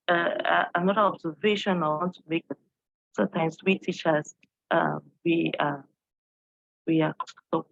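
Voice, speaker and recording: A woman's voice: low voice, gravelly, dry recording, muffled recording. A woman from Cameroon, an English teacher, giving an earnest online presentation and posing questions to her audience, with frequent fillers.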